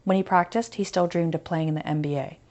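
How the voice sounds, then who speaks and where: Lower-voiced American woman teacher reading aloud. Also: steady tone, quick pace, darkish tone, dull audio. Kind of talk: children's read-aloud lesson with asides.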